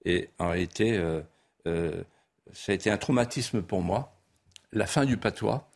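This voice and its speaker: A man's voice: low voice, moderate pace, darkish tone. A man whose first language was a regional patois, a guest author in a studio interview, speaking in a lyrical, sweeping way that builds to emphatic peaks. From France. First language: Vendée patois.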